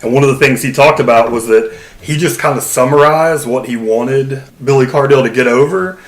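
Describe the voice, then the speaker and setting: A man's voice: mid-range voice, brisk, roomy sound. A man co-hosting a podcast, chatting eagerly and saying "like" a lot. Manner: enthusiastic, fills talk with "like". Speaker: podcast co-host. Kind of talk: casual chat.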